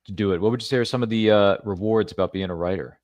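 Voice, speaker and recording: Fast-talking man hosting a chat show. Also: fairly low pitch, a little mellow, dry recording. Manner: chatty, playful, fond of references and catchphrases. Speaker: podcast host. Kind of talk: casual interview chat.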